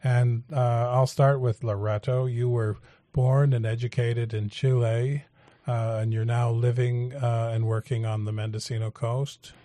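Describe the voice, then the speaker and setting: A male radio talk show host, interviewing guests in a measured, halting way with frequent fillers. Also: medium pitch, flat delivery, mellow, no room sound. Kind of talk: public affairs interview.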